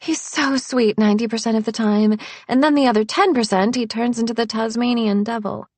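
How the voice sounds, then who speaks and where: A woman voicing a playful, deadpan young mother bantering archly in an audiobook. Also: a little high, fairly quick, no echo, pitched above the performer's own voice, close to an everyday voice. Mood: playful indignation. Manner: deadpan, arch.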